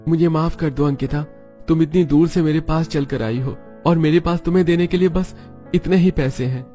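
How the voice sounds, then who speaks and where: A man's voice: fairly high pitch, fairly even intonation, moderate pace, mellow, soft background music, pitched above the performer's own voice, close to an everyday voice. A man voicing a humble, apologetic poor labourer husband in a children's cartoon story.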